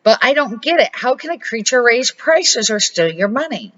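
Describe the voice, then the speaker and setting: A woman's voice: fairly high pitch, big pitch swings, moderate pace, a bit bright, dull audio, voice pushed up. An older woman reading the part of a curious, eager young grandson in a children's storybook. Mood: puzzled. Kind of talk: storybook read-aloud.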